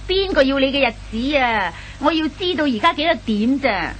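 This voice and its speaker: An old radio recording with faint hum and noise carries a high-pitched young Hong Kong Cantonese girlfriend. She is impatient and indignant, scolding with heavy emphasis in a comic radio play. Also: animated, moderate pace, a bit bright. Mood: exasperated. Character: angry impatient young girlfriend scolding her late boyfriend.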